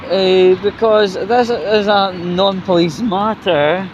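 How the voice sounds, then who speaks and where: A man's voice: high voice, fairly even intonation, takes it slowly. A British man giving a casual, rambling, sweary vlog rant to viewers in an indignant, sarcastic manner. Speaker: vlogger. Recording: dull lo-fi recording with loud outdoor traffic noise.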